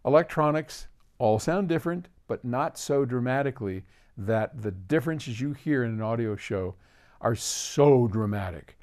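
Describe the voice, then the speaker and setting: A man's voice: mid-range voice, animated, moderate pace, a little mellow. An older man hosts casually, answering a viewer's question in an emphatic, opinionated way. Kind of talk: casual explanatory talk to camera.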